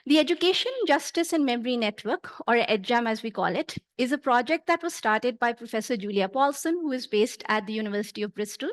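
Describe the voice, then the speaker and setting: A middle-aged Pakistani woman with a high, light voice, speaking English as a second language after Punjabi. She delivers a prepared conference talk in a measured, earnest way, pausing on small fillers. The sound is close and dry, with almost no room around her. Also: a bit bright, dry recording. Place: conference hall.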